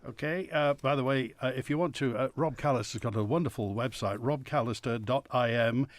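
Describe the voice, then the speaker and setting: A male radio host with a mid-pitched voice, chatting through a live phone-in and often pausing on uh. The sound is dry and close to the microphone. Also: dry recording. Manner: chatty, with frequent uhs.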